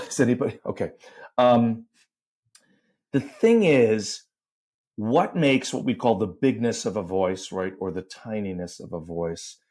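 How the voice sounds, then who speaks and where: A man's voice: on the low side, animated, a little slow, a little mellow. Man, voice teacher, podcast guest, explaining, demonstrating sounds. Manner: explains step by step, vocal demos and sound effects.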